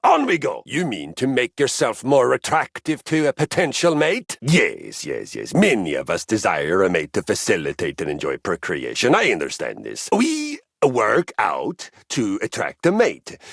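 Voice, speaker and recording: A pompous, gravelly exercise machine. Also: male voice, no echo, clean studio sound, exaggerated voice. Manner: theatrical, pompous, swings from low murmurs to booming shouts. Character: grandiose male exercise machine, boastful and violence-loving. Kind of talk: video game character acting.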